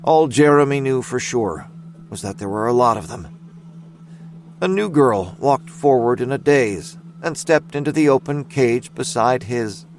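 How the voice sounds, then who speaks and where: Man narrating dark fantasy, mid-pitched. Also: animated, slight background noise. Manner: measured, storytelling. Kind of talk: scripted dramatic fiction narration.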